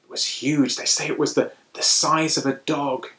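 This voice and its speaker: Theatrical male storyteller with dramatic pauses. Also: on the high side, steady tone, fairly bright tone. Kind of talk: animated folktale narration for children.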